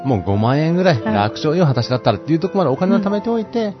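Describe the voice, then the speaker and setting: A man's voice: fairly high pitch, big pitch swings, racing. A man co-hosting a podcast, giving casual advice in a polite, explanatory manner. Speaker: podcast co-host playing advice-giving bartender. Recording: dull audio with clear music behind. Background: background music.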